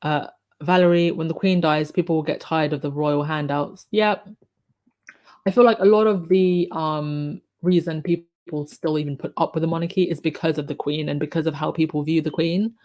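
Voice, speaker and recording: A woman with a croaky voice hosting a casual live stream, chatting and reading out viewer comments with many ums and restarts. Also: low-pitched, steady tone, moderate pace, a little mellow.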